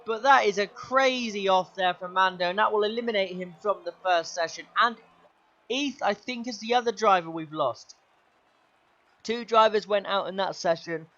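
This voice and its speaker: A young man commentating his own sim race, his voice going hoarse, excitable and shouting at the big moments. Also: high voice, moderate pace, lo-fi sound. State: losing his voice. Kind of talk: gaming commentary.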